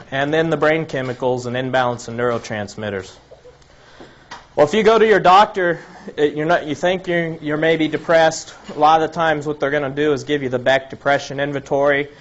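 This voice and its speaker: A man giving an informal health lecture to a live audience. His voice is slightly raspy from a cold, and he explains things step by step, listing items one by one and often restarting his sentences. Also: medium pitch, dull audio. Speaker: health presenter.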